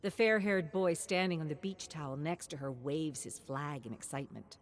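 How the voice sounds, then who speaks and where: A woman narrates a dramatic story in a measured, descriptive manner. Her voice is quite low for a woman. Ambient noise is clearly present behind her.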